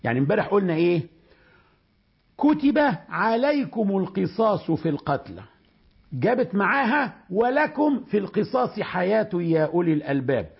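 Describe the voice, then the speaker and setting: An Egyptian man giving a Quran commentary lesson, switching between lively colloquial explanation and slow recitation. His voice is very husky and sits fairly high, with lots of movement in pitch. The recording is dull and lo-fi. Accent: Egyptian Arabic. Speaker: religious teacher.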